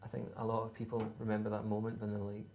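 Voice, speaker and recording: A man's voice: lowish voice, completely flat delivery, racing, warm tone, slight background noise. A Scottish man in his thirties, a musician answering interview questions in a laid-back, hesitant way with dry humour. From Scotland. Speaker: musician guest.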